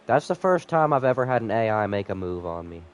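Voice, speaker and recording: A man's voice: fairly low pitch, sing-song, a little fast. A man sick with a cold, slightly nasal, rambling casually to viewers as he commentates a live game stream.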